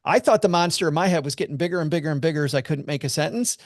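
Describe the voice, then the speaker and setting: A man telling a personal story as a podcast guest, earnest and animated. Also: on the high side, very fast, no room sound, clean studio sound. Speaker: podcast guest, money commentator. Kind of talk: casual storytelling chat.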